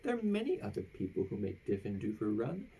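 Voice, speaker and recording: Animated, creaky-voiced woman reading a storybook. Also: extremely low pitch. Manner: theatrical, drawn-out emphasis on phrase endings. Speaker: storybook reader. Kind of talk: expressive read-aloud of a children's rhyming picture book.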